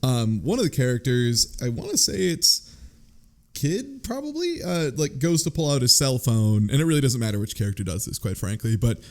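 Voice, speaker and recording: A man's voice: mid-range voice, very animated, moderate pace. A man chatting casually as a podcast panelist, retelling and riffing on a story in a rambling, amused, slangy way. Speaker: book club podcast panelist.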